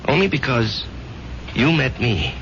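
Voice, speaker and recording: An actor playing a troubled, anxious man who speaks tenderly and haltingly in a 1970s radio drama. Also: medium pitch, expressive, vocal fry, noisy background. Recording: dull vintage radio broadcast.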